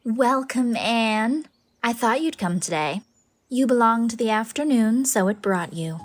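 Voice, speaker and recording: A woman's voice: on the high side, animated, a little slow. An actress playing a sweet, whimsical middle-aged lady in an audiobook drama, with effusive, playful, theatrical phrasing. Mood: delighted. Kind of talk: scripted audiobook drama acting.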